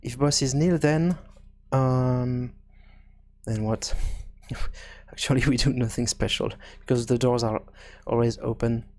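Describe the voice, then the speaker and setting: A man presenting an unscripted programming tutorial, hesitant and halting as he thinks aloud. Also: mid-range voice, steady tone, darkish tone.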